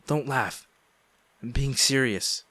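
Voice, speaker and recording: A man acting a guilt-ridden ex-boyfriend pleading for forgiveness in an intimate audio roleplay, halting and emotional. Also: mid-range voice, sharp tone, no room sound. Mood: regretful, earnest. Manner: halting, emotional, sighing, repeats himself.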